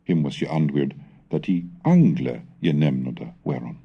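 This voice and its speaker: A man giving a formal, measured scripted reading, declamatory, in the style of an educational recitation of old texts. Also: rumbling low, expressive, creaky, husky, lo-fi sound. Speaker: reader and narrator of a language history recording.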